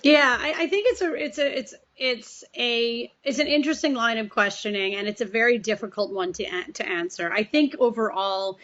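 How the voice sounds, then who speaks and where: A woman's voice: on the high side, normal speed, few pauses, fairly bright tone, dull audio. A woman on a panel, talking in a thoughtful way with some restarts while she finds her words. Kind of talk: virtual panel discussion. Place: at home on a video call.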